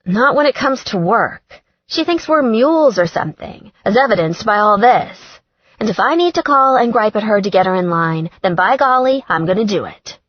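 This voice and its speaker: A woman's voice: medium pitch, lively intonation, normal speed, no echo, dull audio, voice pushed up, lightly acted. A woman reading a romance audiobook, voicing a brash, sardonic young redheaded woman who gripes in a cheerfully acerbic way. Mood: griping.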